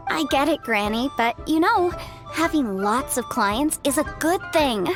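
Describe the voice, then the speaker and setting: A chirpy, high-voiced young consultant. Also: female voice, lively intonation, a little slow, music in the background. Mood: playfully defensive. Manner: breezy, articulate, playfully defensive. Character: chirpy, self-assured young woman legal consultant. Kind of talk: video game voice acting.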